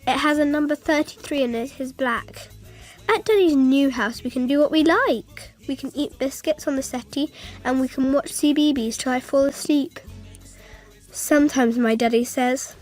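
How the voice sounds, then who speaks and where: Female performer: small girl narrating a radio play, high voice, literal-minded, hopeful, simple childlike telling. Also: normal speed, a little mellow, soft background music, lightly acted. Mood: matter-of-fact. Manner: simple, artless, matter-of-fact childlike telling. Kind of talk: first-person radio drama narration.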